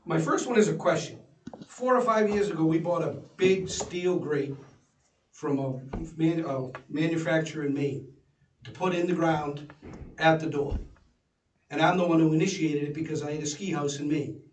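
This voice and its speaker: A man's voice: a little high, normal speed, slight background noise. A man speaking plainly and folksily at a town commission meeting. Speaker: commission member. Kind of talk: public meeting discussion. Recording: echoey room, distant mic.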